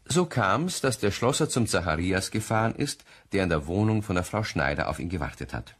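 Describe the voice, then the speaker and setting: Narrator: man, low husky voice, lively intonation, wry storyteller for an audio play. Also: brisk, slight background noise. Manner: wry storytelling with rhetorical questions. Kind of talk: scripted radio play storytelling.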